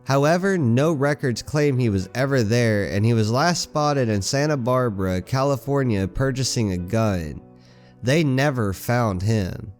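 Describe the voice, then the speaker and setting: Male horror reader, raspy from a cold, mid-pitched, faint music bed. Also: animated, measured pace, a little mellow. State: hoarse with a cold. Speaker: creepypasta narrator. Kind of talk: reading a horror story aloud.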